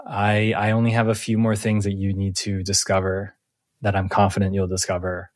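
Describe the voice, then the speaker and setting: A man's voice: on the low side, monotone, normal speed, no room sound, studio quality. A man hosting a tabletop roleplaying game as game master. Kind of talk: tabletop game narration and casual recap.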